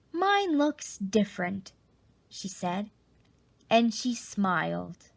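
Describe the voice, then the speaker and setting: A woman reading a children's picture book aloud in an expressive, dramatic storytelling style. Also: mid-range voice. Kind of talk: animated storybook read-aloud.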